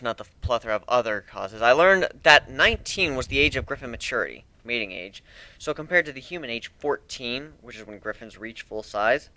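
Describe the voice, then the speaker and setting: Bright, clear-voiced man narrating a story. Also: mid-range voice, no echo, low-quality recording. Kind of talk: dramatic reading of a first-person fantasy story.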